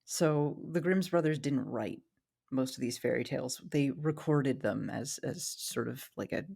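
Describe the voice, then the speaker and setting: A woman's voice: rumbling low, unhurried, gravelly, warm tone, husky. A woman co-hosting a podcast in casual conversation, with a wry, joking way of talking. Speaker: podcast co-host. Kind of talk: casual discussion.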